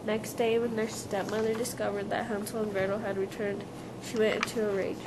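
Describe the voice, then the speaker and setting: A woman's voice: medium pitch, little pitch movement, a little fast. A woman reading scripted storybook narration aloud, occasionally stumbling on a word. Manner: reads aloud, stumbles on words. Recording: clearly noisy live recording.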